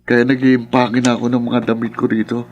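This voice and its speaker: An actor plays a bereaved young man in a radio drama. He speaks at a medium pitch, earnest and doubting, with faint music behind. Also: little pitch movement, fairly quick, dull audio, natural voice. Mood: doubting, resolute.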